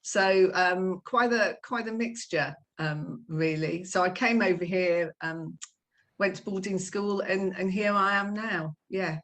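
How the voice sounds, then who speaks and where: A middle-aged woman being interviewed, talking casually with many pauses and filler sounds. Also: mid-range voice, a bit bright. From Iran. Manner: hesitant, many ums, laughs easily. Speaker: guest.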